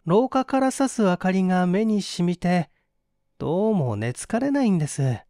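A man's voice: high voice, very animated, normal speed, warm tone, no room sound, studio quality, voice pushed up. A man playing a wily male convict who pleads politely and plaintively in an audiobook story. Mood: meek pleading.

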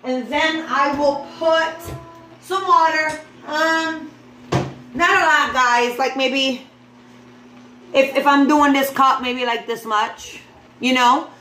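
A high-voiced woman talks viewers through her cooking in an informal, chatty way. She takes her time, speaking off the cuff in a home kitchen. The phone recording is echoey, with clear background noise. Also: fairly even intonation, slow, sharp tone. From the Bronx, New York, USA. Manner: informal, addressing viewers as guys, unscripted. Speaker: home cook vlogger. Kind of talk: casual cooking demonstration, chatty.

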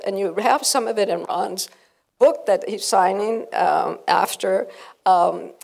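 An older American woman giving an informal, effusive talk to an audience on a handheld microphone, emphatic and sometimes halting. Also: mid-range voice, vocal fry, darkish tone, low-quality recording. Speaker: event presenter. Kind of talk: informal live public talk. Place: hotel conference room before a seated audience.

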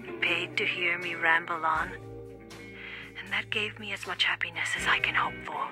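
A woman's voice: fairly low pitch, steady tone, normal speed, lightly acted. Woman acting a cool, seductive game femme fatale. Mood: wistful, hushed. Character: cool, taunting, seductive female assassin league agent. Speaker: voice actor in a game. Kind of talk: video game cutscene acting. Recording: game audio with music underneath.

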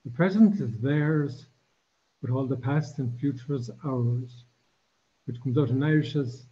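An older man giving a formal lecture in a curtained embassy room, talking in a measured way with frequent restarts and fillers. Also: mid-range voice, dark tone, husky, dull audio. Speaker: guest lecturer.

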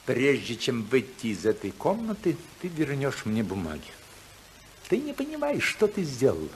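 Domineering older tycoon, wildly varied pitch. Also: male voice, huge pitch swings, slight background noise. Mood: menacing. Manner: theatrical, commanding, emphatic with deliberate pauses. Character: domineering, imperious older male tycoon, a stern father. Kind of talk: 1950s radio play acting. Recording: 1950s radio drama recording.

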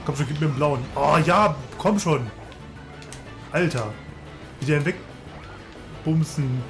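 A man's voice: fairly high pitch, unhurried, fairly bright tone. A man giving casual, joking let's-play commentary while racing, making playful sound effects, with game music underneath.

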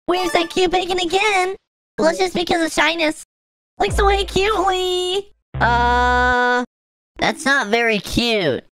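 A woman's voice: high voice, fairly bright tone. A woman doing playful comedic cartoon voice-over, reading action cues aloud as she switches between characters. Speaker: voice-over creator.

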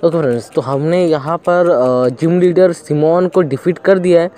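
A man's voice: fairly high pitch, normal speed, mellow. Chatty young man narrating gameplay. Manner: chatty, thinks aloud while playing. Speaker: gaming channel host. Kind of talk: casual let's-play commentary.

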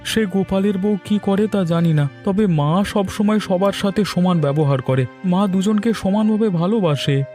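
A man's voice: high-pitched, normal speed, mellow, soft background music, lightly acted. A man playing a gullible, easily riled married villager in a cartoon, plaintive and indignant. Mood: troubled, puzzled. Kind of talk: cartoon voice acting.

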